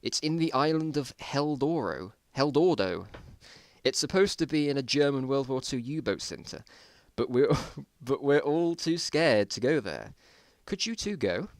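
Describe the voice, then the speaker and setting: A man's voice: mid-range voice, lively intonation, unhurried, no echo, voice pushed up. A man voicing a timid male scientist survivor in an amateur story reading, earnest and pleading.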